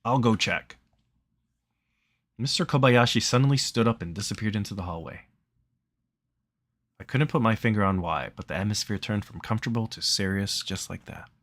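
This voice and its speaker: A man with a fairly low voice narrates a visual novel. He reads the text aloud in a casual way, as a game streamer does. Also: brisk, a bit bright.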